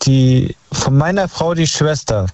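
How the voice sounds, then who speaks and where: Muffled, higher-voiced male caller on the phone. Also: measured pace, a little mellow, no room sound. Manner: blunt, opinionated. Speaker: caller to a talk show. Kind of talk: casual, slangy phone chat. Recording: muffled, dry phone call audio.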